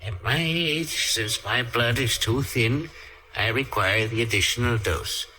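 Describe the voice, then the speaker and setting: An actor playing an elderly, agitated scientist guarding his life's work in an old radio drama, with a raspy voice. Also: mid-range voice, unhurried, sharp tone. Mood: muttering, absorbed. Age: older. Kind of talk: 1940s radio acting.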